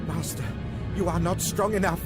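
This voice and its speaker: A man playing a nervous, servile henchman pleading with a dark master in a video game. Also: high voice, normal speed, lightly acted. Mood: anxious, pleading. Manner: anxious, pleading. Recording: loud dramatic music behind.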